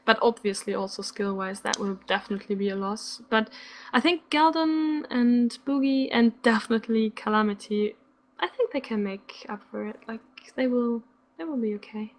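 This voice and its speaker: A woman commentating a live online game match, chatty and quick to laugh, sounding a little sick. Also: fairly high pitch, slight background noise. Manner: chatty, laughs often, uses "like" a lot. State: sick, slightly rough voice. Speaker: esports commentator.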